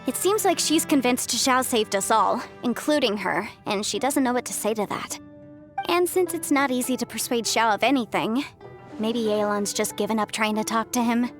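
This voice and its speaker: A woman's voice: on the high side, normal speed, close to an everyday voice. A woman playing an earnest, kindly and cheerful young woman in a video game, speaking politely and sincerely. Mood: thoughtful. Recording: faint music behind the voice.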